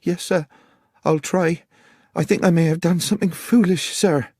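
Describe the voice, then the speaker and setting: Guy doing a nervous young farm girl for an audiobook, higher voice, halting n humble, country way of talking. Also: fairly even intonation, a little mellow, breathy edge, no room sound, clean studio sound. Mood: anxious, earnest. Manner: rustic grammar, deferential, halting. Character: anxious, earnest young country woman confessing to a detective. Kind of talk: audiobook character voice.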